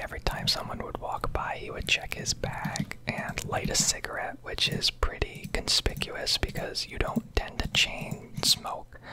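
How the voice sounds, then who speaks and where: A woman whispering a rambling, casually sweary ASMR commentary over gameplay, close to the microphone. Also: low-quality recording. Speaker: game streamer.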